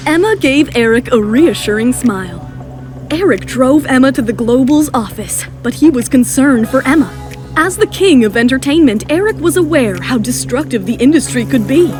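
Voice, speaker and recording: A woman's voice: high-pitched, lively intonation, normal speed, a little mellow. A woman reading dramatic scripted narration as the narrator of an audio drama. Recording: music behind the voice.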